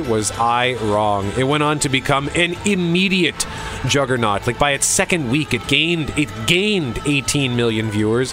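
A man hosting a radio show, mixing scripted narration with casual asides in an emphatic way, drawing out key phrases. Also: mid-range voice.

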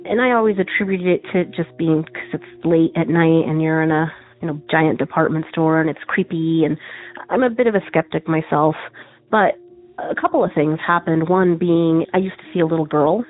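A woman with a low voice, from Arizona, leaves a chatty voicemail full of small hesitations. The line sounds narrow and phone-like, with soft music in the background. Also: normal speed. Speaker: caller. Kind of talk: recorded voicemail story.

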